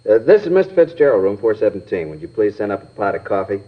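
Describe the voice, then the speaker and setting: Unbothered well-off fellow, lowish voice, breezy and offhand, old radio drama, muffled sound. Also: male voice, very animated, quick pace. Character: casual, unbothered well-off man receiving police callers. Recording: muffled vintage radio recording.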